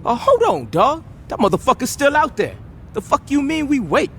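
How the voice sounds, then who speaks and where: An actor playing a young, hot-headed American gangster in a video game cutscene, indignant and sarcastic. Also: male voice, extremely high pitch, big pitch swings, moderate pace, fairly bright tone, a little hiss or hum, full character voice. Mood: frustrated, sarcastic.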